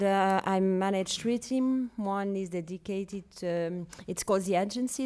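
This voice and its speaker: A French-accented woman speaks in a soft-edged, mellow voice set a little low. She is presenting herself and her work to a group. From Paris, France. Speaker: deputy director at a news channel. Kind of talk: self-introduction to an audience.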